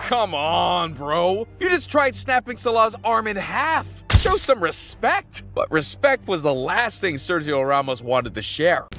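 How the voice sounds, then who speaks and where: A man's voice: high-pitched, sing-song, measured pace, few pauses, pushed and bright. A man giving hyped, scripted narration for a sports video in a slangy, exclamatory, dramatic manner.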